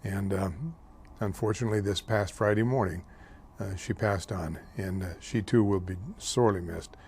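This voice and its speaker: An older man hosting a local talk show, speaking haltingly with many fillers and slips over names, louder and more heated on politics. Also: on the low side, slight background noise. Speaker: host.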